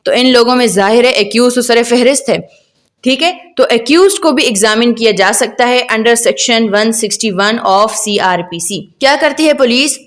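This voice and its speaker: A woman's voice: fairly high pitch, unhurried. A woman with a Pakistani accent lecturing on law in a didactic way, posing questions and answering them, mixing in English legal terms. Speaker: law teacher.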